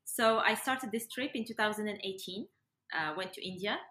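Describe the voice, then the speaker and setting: Woman, young adult, bright forward voice, roomy echo, casual interview guest. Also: medium pitch, moderate pace. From Morocco. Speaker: interview guest, yoga and breathwork facilitator. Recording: roomy, echoey sound.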